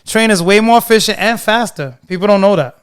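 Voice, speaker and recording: A man's voice: high voice, a little fast, clear, resonant tone. A man hosting a podcast, chatting casually in street slang and swearing freely. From Queens, New York. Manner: laid-back street slang, swears freely, laughs along. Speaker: podcast host. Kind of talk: casual interview chat.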